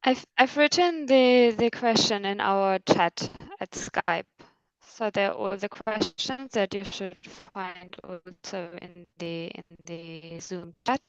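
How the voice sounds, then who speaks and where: A woman with a clear, smooth voice speaks at an unhurried pace, casually coordinating an online session. The call audio is a little dull. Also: medium pitch, dry recording. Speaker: online session organiser relaying questions. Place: online video conference call.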